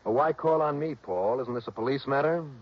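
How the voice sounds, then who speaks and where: An actor playing a cocky, self-assured freelance insurance investigator, acting and narrating in an old-time radio drama. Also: male voice, mid-range voice, a little fast, natural voice. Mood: skeptical. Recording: dull, noisy old radio recording.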